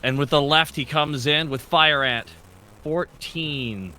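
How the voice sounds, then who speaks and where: A man playing a tabletop role-playing game, chatting casually and reacting in an excitable, exclamatory way. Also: a little high, takes it slowly. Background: music.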